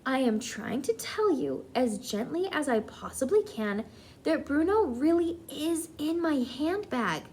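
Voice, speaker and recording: A shrewd old grandmother from a storybook acted out by a woman. She is composed and firm, stressing her words deliberately in a fairly high, very lively voice. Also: slight background noise. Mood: insistent.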